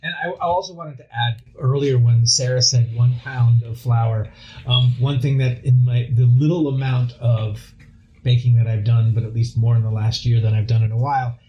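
A man's voice: medium pitch, fairly even intonation, moderate pace, lo-fi sound. A man co-hosting a food radio show, chatting casually in a kitchen and explaining things in a hesitant, roundabout way. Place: indoors in a kitchen.

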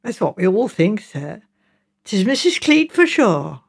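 A woman's voice: medium pitch, big pitch swings, measured pace, slightly rough, exaggerated voice. A woman voicing a deferential village woman in an audiobook, with an emphatic dialect way of speaking. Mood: confiding, certain. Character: rural village woman, deferential, sure of gossip.

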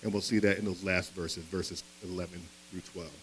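A fairly low-voiced man preaches a sermon in an earnest, conversational manner. He often checks in with his listeners through quick tag questions. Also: fairly even intonation, a little hiss or hum, rough recording. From Philadelphia. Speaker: pastor. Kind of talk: sermon with opening prayer.

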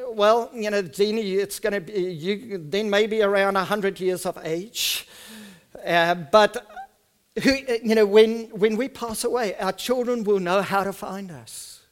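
Afrikaans-accented man, middle-aged pastor, high tenor, earnest sermon, drops soft then rises loud. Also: smooth. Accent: South African Afrikaans. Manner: earnest, swings from soft and hushed to loud and emphatic. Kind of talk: sermon with personal storytelling.

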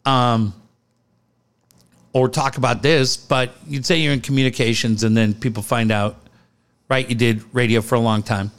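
A middle-aged man co-hosting a casual podcast, telling profane, animated stories. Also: medium pitch, normal speed, pauses often. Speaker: podcast co-host.

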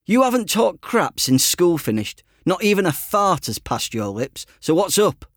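A cheeky, blunt teenage boy played by a male narrator in an audiobook. He is sarcastic and teasing, with pitch that jumps about, in a dry recording. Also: very animated, moderate pace, no room sound, exaggerated voice. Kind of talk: audiobook character dialogue.

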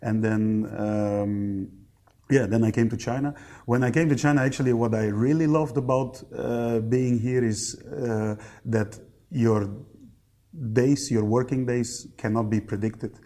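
A man in his thirties with a Belgian accent speaks as an interview guest. His voice is mellow and relaxed, at an average male pitch, and he pauses often as he puts his answers together. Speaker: interview guest, company general manager. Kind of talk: conversational podcast interview.

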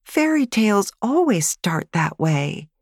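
A woman voicing an eager, excitable six-year-old girl who pouts and pleads in an audiobook. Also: medium pitch, takes it slowly, no room sound, studio quality, pitched above the performer's own voice. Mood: doubtful. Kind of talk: audiobook character voice.

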